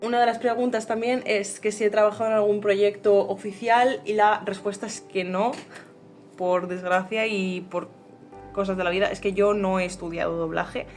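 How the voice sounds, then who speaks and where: A woman's voice: mid-range voice, fairly even intonation, normal speed, a little mellow. Female amateur voice actor, conversational, level intonation, faint music bed. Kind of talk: casual interview testimonial.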